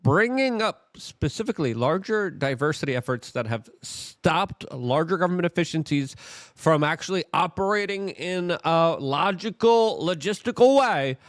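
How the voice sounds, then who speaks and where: A man's voice: a little high, animated, moderate pace, no room sound. A man hosting an opinionated political commentary, speaking in an emphatic, theatrical and sarcastic manner.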